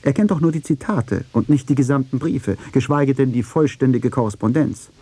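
Male radio narrator, slightly husky, dry sound. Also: mid-range voice, moderate pace, dark tone, no room sound. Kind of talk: scripted radio feature, argumentative narration.

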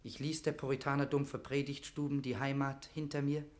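A man's voice: medium pitch, monotone, normal speed, reverberant, lightly acted. An actor playing a zealous young nobleman devoted to rescuing his queen, speaking impassioned, declamatory verse in a classical radio play. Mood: fervent. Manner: declamatory, impassioned, elevated stage diction.

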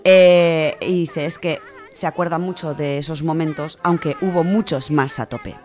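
A woman's voice: low-pitched, fairly even intonation, unhurried. A woman with an accent from Spain presenting a radio show, chatting informally as she reads out listener contributions. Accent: Spanish from Spain. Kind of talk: casual radio chat, reading out listener contributions.

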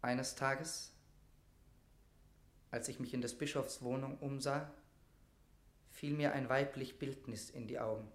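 A man's voice: medium pitch, steady tone, measured pace, long pauses, lightly acted. Man playing fervent young nobleman in echoing room. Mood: fervent indignation. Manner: declamatory, impassioned, solemn. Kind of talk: classic German verse drama, declaimed radio acting.

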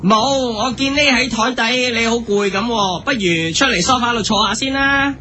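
A man's voice: extremely high pitch, moderate pace, pushed and bright, faint music behind. A man playing an oblivious, overfriendly, pious singer in a comic radio play, earnest and insistent in his chatter.

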